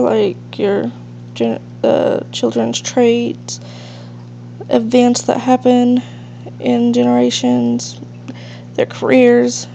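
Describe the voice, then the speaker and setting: A high-voiced woman hosts a casual gaming let's play, sounding nasal and congested from allergies, with heavy vocal fry throughout. She rambles in an unhurried way, and the home recording is a little dull, with faint hiss. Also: dark tone. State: stuffed up with allergies. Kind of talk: casual gameplay commentary.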